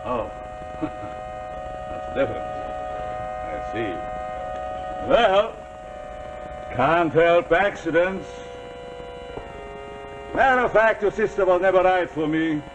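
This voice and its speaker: A bullying, boastful general, pitched high and speaking slowly. He gloats in halting phrases, in the manner of 1940s suspense acting. The old television soundtrack hums with noise. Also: sing-song, exaggerated voice. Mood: gloating. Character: boastful, domineering self-made general, a former stable boy. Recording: noisy, humming 1949 television soundtrack.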